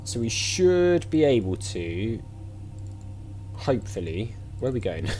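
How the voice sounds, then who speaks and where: A man's voice: medium pitch, very animated, unhurried, a little mellow. A man giving casual let's play commentary on an adventure game, chatty and musing aloud as he goes. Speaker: gamer playing an adventure game. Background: game music.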